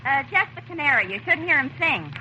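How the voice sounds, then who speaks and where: An actress playing a coy, flirtatious faithless wife in a theatrical 1930s radio comedy. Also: female voice, high voice, sing-song, fairly quick, muffled recording. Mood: scheming. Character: flirtatious, faithless wife juggling lovers in a farce. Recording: noisy old 1930s radio broadcast.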